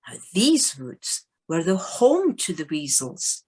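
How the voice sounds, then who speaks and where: A woman narrates a storybook tale slowly. Her voice is slightly high and husky, with lively swings in pitch. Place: living room at home, recorded over video call.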